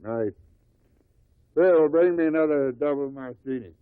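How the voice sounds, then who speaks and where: An actor playing a bitter, stubborn, hard-drinking husband in a 1950s radio drama, surly and drawling. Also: male voice, fairly high pitch, normal speed, clean tone, dry recording, close to an everyday voice. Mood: sullen. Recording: old muffled narrow-band 1950 radio recording.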